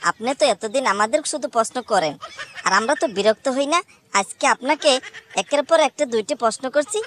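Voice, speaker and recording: A woman's voice: on the high side, slight background noise, close to an everyday voice. A woman voicing cheeky, argumentative schoolchildren in a comedy cartoon. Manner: cocky, argumentative. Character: cheeky schoolchildren answering back to their teacher.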